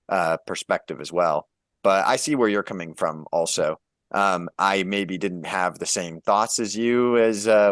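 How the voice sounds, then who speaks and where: Low-voiced man musing thoughtfully. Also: fairly bright tone, dry recording, studio quality. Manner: measured, thoughtful, many ums. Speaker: podcast co-host. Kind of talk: casual podcast discussion.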